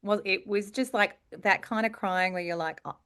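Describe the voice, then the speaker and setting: A woman's voice: mid-range voice, moderate pace. A woman with an Australian accent, telling stories with exaggeration in a casual chat among friends. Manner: animated, exaggerating storytelling. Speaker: podcast host, voice actor.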